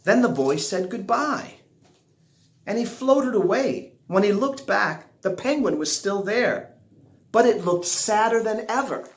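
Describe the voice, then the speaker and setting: A man reads a children's storybook in an echoey room. His fairly high voice swings widely up and down. He takes his time, drawing out words for dramatic effect. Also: animated, unhurried. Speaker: storybook reader. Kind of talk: expressive children's picture book read-aloud.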